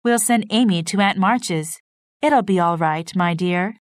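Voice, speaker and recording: A woman's voice: lowish voice, a little slow, dry recording, studio quality, close to an everyday voice. Woman reading as Jo, a caring young sister. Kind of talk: storybook reading.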